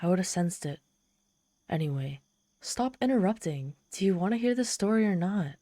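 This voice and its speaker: A woman plays a haughty female demon telling her tale straight to the listener in an ASMR roleplay. Her voice is slightly low and mellow, with a dry, roomless sound. She is theatrical and proud, yet easily flustered and exasperated. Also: expressive, no echo. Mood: grudging, irritated. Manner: haughty, theatrical, halting when embarrassed.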